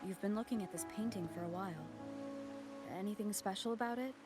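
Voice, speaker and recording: A woman playing a young, cautious traveler in a video game, speaking in a measured, thoughtful way. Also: medium pitch, close to an everyday voice. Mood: pensive. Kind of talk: scripted video game acting. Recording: echoey voice with music behind.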